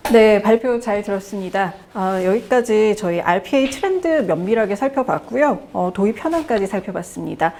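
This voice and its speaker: A woman moderating a seminar, thanking speakers and introducing the next one in a smooth, courteous way. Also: mid-range voice, lively intonation, fairly quick, hardly any pauses, darkish tone, slight background noise. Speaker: event moderator.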